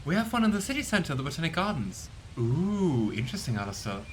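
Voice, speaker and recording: A young man casually commenting on a game he plays, musing aloud and unsure, often trailing into low mumbles. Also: sing-song, fast, a little hiss or hum. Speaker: let's play host.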